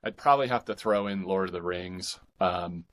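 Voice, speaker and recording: Low-pitched American man in his forties. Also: a bit bright. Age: 40s. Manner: halting, thinking aloud, enthusiastic. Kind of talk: casual interview chat.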